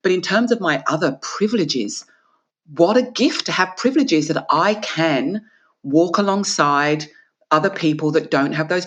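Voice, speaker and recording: A woman from Australia, an author and guest, talking earnestly and with emphasis in an interview and acting out small dialogues. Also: sits low. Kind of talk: reflective interview conversation.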